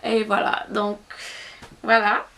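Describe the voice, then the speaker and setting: A woman's voice: medium pitch, monotone, slow, vocal fry, pushed and bright. A young woman from Haiti with a Haitian accent, Haitian Creole native, chatting casually to camera and thinking aloud as she answers questions. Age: young adult. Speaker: YouTuber.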